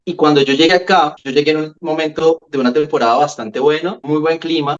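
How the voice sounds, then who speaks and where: A Colombian man with a Bogotá accent, talking on a casual podcast in a slightly high voice. He explains things in an animated way and often repeats words as he gets going. The sound is dull and lo-fi. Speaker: podcast co-host. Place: home room with a window.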